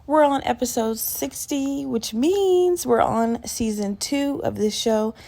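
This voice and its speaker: A woman hosts her solo podcast with a sleepy, just-out-of-bed growl and plenty of vocal fry. Her talk is loose and rambling, candid and self-deprecating, with casual swearing. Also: on the high side, expressive, takes it slowly, warm tone. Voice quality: slightly gravelly morning voice. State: just woke up. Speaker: podcast host.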